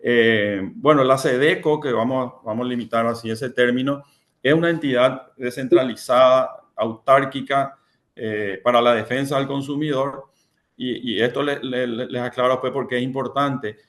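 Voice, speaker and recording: A man presents as a panelist at a conference. He speaks at an average pitch. His delivery is halting, with frequent fillers and restarts. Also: fairly even intonation, a bit bright. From Paraguay. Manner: hesitant, many fillers and repeated words.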